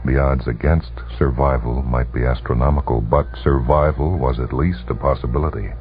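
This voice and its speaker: A man narrating a short story for radio in a measured, suspenseful, dramatic reading, heard on a muffled old broadcast. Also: a bass voice, fairly even intonation. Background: music.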